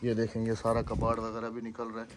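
A man's voice: fairly low pitch, monotone, fast talker, over a noisy crowd. A middle-aged man with a Pakistani accent, chatting casually as a village family vlogger, recorded outdoors on a phone at arm's length. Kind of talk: casual chat to camera. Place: outdoors in village fields and open house courtyard.

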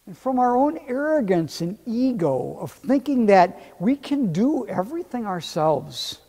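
A middle-aged male pastor preaches in a warm, slightly husky voice, his pitch rising and falling in a lively way. He speaks unhurriedly and expressively. His lapel mic picks up the long reverb of the church around him. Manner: expressive, gesturing with both hands. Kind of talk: sermon. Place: church sanctuary, indoors.

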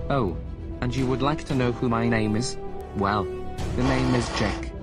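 A man's voice: medium pitch, a little slow, vocal fry. A man voicing an arrogant, foul-mouthed evil villain who taunts and curses, in an amateur voice drama, with music behind. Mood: rude. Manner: rude, cursing, taunting.

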